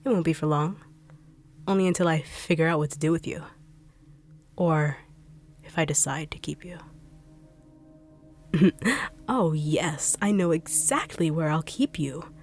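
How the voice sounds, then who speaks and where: Woman doing a real low smug villain treasure hunter lady, slow teasing whispers. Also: darkish tone. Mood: gloating. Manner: taunting, purring, slow teasing delivery with whispered asides. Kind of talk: audio roleplay drama.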